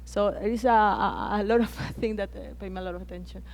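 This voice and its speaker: A woman's voice: medium pitch, steady tone, a little fast, a little mellow. Chilean woman speaking hesitantly on a panel. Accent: Chilean Spanish. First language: Spanish. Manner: hesitant, frequent restarts and repeated words. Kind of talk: conference panel talk. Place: conference plenary hall.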